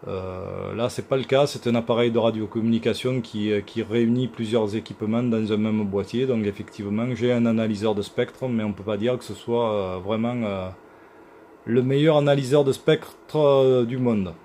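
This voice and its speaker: A man giving an informal equipment demonstration as a repair technician, speaking haltingly with many hesitation sounds. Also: on the low side, steady tone, dark tone, slight background noise. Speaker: radio repair technician presenting his work. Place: electronics workshop.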